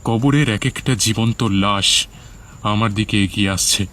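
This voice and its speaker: A man's voice: lowish voice, moderate pace, a bit bright, slight background noise. A man narrating a scripted first-person horror story in a dramatic, suspenseful manner. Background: music under the voice.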